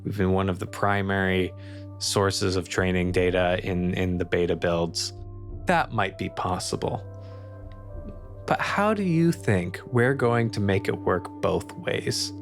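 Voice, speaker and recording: A man playing a weary, annoyed colony engineer in a tabletop game, speaking in a blunt, halting, grudging way. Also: deep voice, soft background music. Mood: skeptical. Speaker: non-player character in a tabletop game.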